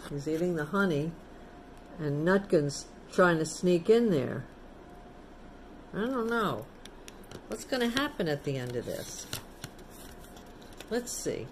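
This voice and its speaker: A woman teacher reading a picture book to children, pausing to explain things simply and ask the young listeners questions. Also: lowish voice, animated, slight background noise. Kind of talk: storybook read-aloud with chatty asides to children.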